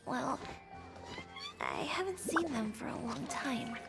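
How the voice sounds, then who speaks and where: Casting: a wondering townswoman, high voice, game music behind. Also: wildly swooping pitch, slow pace, creaky, exaggerated voice. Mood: puzzled. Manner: musing, wondering. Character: puzzled townswoman with recurring dreams. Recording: game audio with background music.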